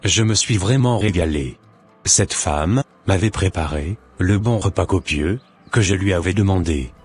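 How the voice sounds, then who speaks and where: A man playing a suspicious, impatient husband in an animated drama, speaking in a deliberate, formal way with a husky voice. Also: low voice, faint music behind. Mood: puzzled, worried. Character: suspicious, impatient husband waiting for his unfaithful wife.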